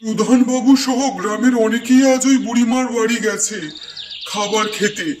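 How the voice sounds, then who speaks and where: A man's voice: very high voice, steady tone, normal speed, a little hiss or hum. A man voicing a puzzled, suspicious village shopkeeper in a Bengali cartoon, echoey and over music. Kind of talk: Bengali cartoon moral story dialogue.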